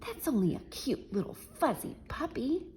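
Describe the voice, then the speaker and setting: A woman voicing a soft-hearted male burglar in a storybook, speaking in a sweet, coaxing whisper. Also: high voice, sing-song, moderate pace, slight background noise, pitched above the performer's own voice.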